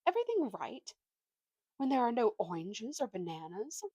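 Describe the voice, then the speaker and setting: A woman's voice: a little high, sing-song, normal speed, dark tone, husky, dry recording, clean studio sound, pitched above the performer's own voice. A woman voicing a manipulative, self-pitying male talking ape in a storybook, with a bossy, wheedling, indignant manner.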